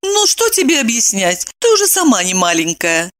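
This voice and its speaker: A female reader voices a cheeky young woman from a comic novel. She speaks high and with very animated pitch. Her retorts are coy, flippant and theatrical. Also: normal speed.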